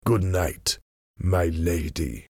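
A man voicing a cold, cunning French ambassador of forty in an audiobook, smooth-tongued, deliberate and menacing. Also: on the low side, lively intonation, darkish tone, no room sound, studio quality, heavily acted.

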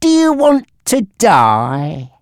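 A narrator voicing a menacing, taunting male gangster boss in an audiobook story, with a threatening, drawn-out delivery. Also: mid-range voice, wildly swooping pitch, clear, resonant tone, no echo, studio quality, voice pushed up, heavily acted. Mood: taunting menace.

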